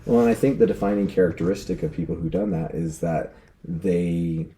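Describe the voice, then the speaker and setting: Very deep, mellow-voiced man explaining calmly. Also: a little fast, slight background noise. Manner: calm and explanatory. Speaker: interview guest, author. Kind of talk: casual conversational interview.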